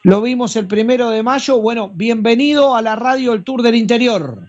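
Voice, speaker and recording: A woman's voice: on the high side, normal speed, darkish tone. A woman with a Uruguayan accent hosting a radio show and interviewing a guest, on dull broadcast audio.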